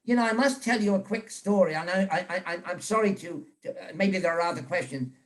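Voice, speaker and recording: A man's voice: high voice, a little fast. Older British man telling rambling stories. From England. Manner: rambling, frequent restarts and repeated words. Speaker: interview guest, journalist. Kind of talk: casual storytelling chat. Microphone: video call.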